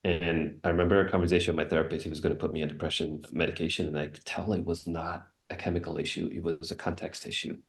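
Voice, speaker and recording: Very deep, flat-voiced gravelly man. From Singapore. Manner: reflective, earnest, measured. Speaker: panelist, wilderness guide. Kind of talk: personal storytelling on a panel.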